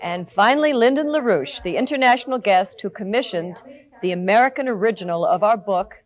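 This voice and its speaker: A woman with a medium-pitched voice acts as moderator. She speaks in a formal, orderly way, as someone presenting guests at an event. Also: lively intonation, a little fast.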